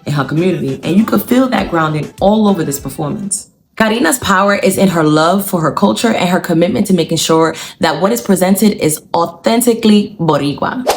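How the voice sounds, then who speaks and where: A young woman, a dancer explaining choreography in a casual, slangy scripted video with punchy lists. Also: lowish voice. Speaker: dancer and commentator.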